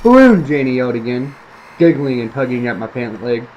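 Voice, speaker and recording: A man's voice: medium pitch, steady tone, brisk, mellow, dull audio. A man reading a creepy story aloud as scripted narration for online listeners. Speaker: storyteller, online video creator. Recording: faint background noise.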